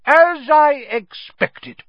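A man voicing a loud, drunk, bullying middle-aged woman who sneers with contempt. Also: very high-pitched, extremely slow, no room sound, muffled recording, pitched above the performer's own voice, exaggerated voice. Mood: furious.

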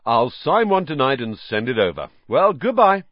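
A man voicing a vain, pompous male teacher who talks loudly and pleased with himself. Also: mid-range voice, sing-song, normal speed, dry recording, lo-fi sound, pitched above the performer's own voice. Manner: pompous, loud, self-satisfied.

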